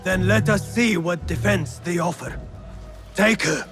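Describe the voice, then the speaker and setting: A haughty, possessive patron with a very high voice for a man. He is pompous and indignant, in the theatrical style of a game cutscene. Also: measured pace, gravelly, fairly bright tone, faint music behind, heavily acted. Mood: angry, indignant. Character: haughty, possessive rich male patron, quick to anger. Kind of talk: theatrical video game acting.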